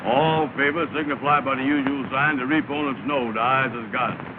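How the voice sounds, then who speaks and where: An actor playing a slow, self-important male lodge member with a drawl and mangled grammar, in 1930s film comedy. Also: mid-range voice, fairly even intonation, close to an everyday voice. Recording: hissy, tinny 1930 film soundtrack.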